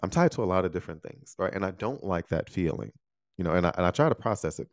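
A man's voice: deep voice, lively intonation, quick pace, no room sound. A man in his thirties from the United States, hosting a casual solo podcast and talking things through with lots of "you know" and "right?". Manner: conversational, frequent "you know" and "right?" tags. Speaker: podcast host.

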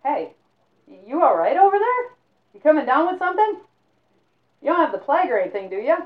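A man's voice: high voice, lively intonation, a little fast, recorded from a distance. A woman voicing a wary male antique dealer in a told story, stammering and hesitant, heard on a tinny, roomy line. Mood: alarmed. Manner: hesitant, stammering, then alarmed. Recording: echoey, phone-like sound.

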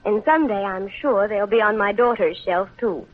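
A woman's voice: medium pitch, normal speed, lightly acted. An actress playing a friendly young wife and mother, chatting genteelly in a 1930s radio advertisement. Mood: friendly, chatty. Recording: tinny, narrow-band 1930s radio broadcast.

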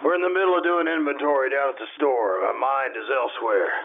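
A man playing a fretful, overworked small-town store owner in a 1950s radio play, grumbling and halting as he talks. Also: medium pitch, lightly acted. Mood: preoccupied, weary. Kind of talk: old-time radio play acting. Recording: muffled, noisy amateur stage radio recording.

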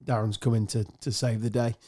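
A man's voice: medium pitch, little pitch movement, brisk, warm tone. A man with a mid-range voice hosts a casual podcast among friends. His manner is joking and teasing, with easy banter. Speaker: podcast host.

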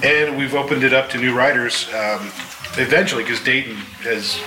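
A man's voice: medium pitch, steady tone, normal speed, music in the background. A man talking casually and wryly on a live convention panel as the creator and writer of an audio drama. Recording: echoey, distant mic with clear noise.

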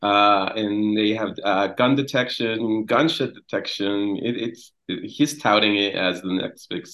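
A young man from Mexico talking casually as a podcast guest, halting and repeating words, trailing off quietly. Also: lowish voice. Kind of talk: casual conversation.

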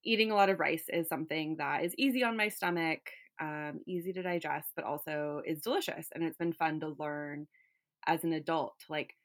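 A young woman from California with a slightly low voice, chatting easily. She talks in loose runs full of fillers and little laughs. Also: steady tone, fairly quick. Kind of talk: casual chat.

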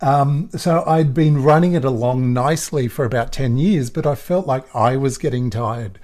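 A middle-aged man with an Australian accent and a croaky voice talks about his work. He is relaxed and chatty, often breaking into a laugh. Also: mid-range voice, moderate pace. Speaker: guest photographer. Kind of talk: casual podcast interview.

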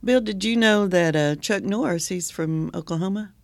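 A man of sixty hosts a loose, joking chat, laughing easily at his co-host's quips. His voice is heavily gravelly and recorded dry and close, with no room sound. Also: high voice, normal speed, no room sound, soft background music. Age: 60s. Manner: laughs often, chatty and joking. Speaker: podcast host. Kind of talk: casual chat. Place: poetry museum.

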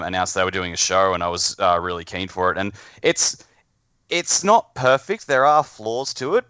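A man chatting casually on a podcast in a halting, repetitive, sweary way, on a slightly dull lo-fi microphone. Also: low voice, sharp tone, clean tone, no echo. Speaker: podcast panelist. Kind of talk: casual pop-culture chat.

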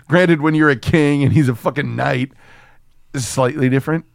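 A man's voice: a little high, moderate pace, creaky, no room sound, clean studio sound. A man co-hosting a film podcast, chatting casually and comedically in a profane, jokey, rambling way.

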